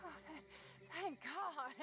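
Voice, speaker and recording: Fluttery, slow-talking young damsel in distress. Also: female voice, sits high, over loud music. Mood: flustered, coy. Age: young adult. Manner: fluttery, hesitant, coy. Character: young woman playing lost, flustered, sweet damsel in distress. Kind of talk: dramatic video game acting.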